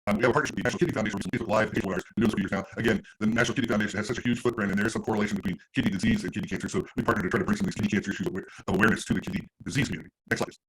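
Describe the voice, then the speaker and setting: Very fast-talking man presenting slides. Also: lowish voice, fairly even intonation, fairly bright tone, rough recording. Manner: informal, halting, often rephrasing. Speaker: patient advocacy organization speaker.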